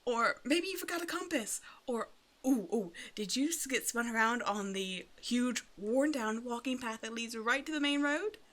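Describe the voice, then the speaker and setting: A woman's voice: on the high side, animated, normal speed, a bit bright. A woman voicing a hungry half-snake naga in an ASMR roleplay, teasing and condescending, with mock-sweet menace. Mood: amused mocking. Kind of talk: ASMR roleplay audio drama, addressing the listener.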